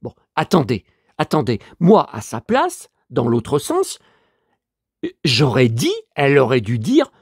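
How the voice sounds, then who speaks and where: Man, head cold, stuffy, rambling, exasperated complaining, animated pitch, dry. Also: takes it slowly, slightly rough, dry recording. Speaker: vlogger and collector. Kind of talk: casual monologue vlog.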